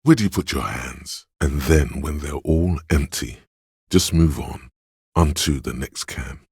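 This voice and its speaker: A very deep, raspy inner voice. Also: male voice, animated, measured pace, darkish tone, no echo, clean studio sound, full character voice. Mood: coaxing, knowing. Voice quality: very deep, gravelly, husky. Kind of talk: scripted second-person game narration.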